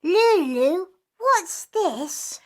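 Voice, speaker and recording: A woman voicing a curious young child who asks simple questions slowly in a children's storybook. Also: very high-pitched, lively intonation, heavily acted.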